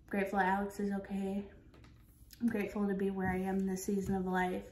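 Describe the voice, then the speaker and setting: A woman's voice: medium pitch, completely flat delivery, quick pace, darkish tone, a little hiss or hum. A woman in her twenties talking casually to camera as a vlogger, in an earnest way with emphatic repetition. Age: 20s. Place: kitchen of an apartment, indoors. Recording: echoey indoor room.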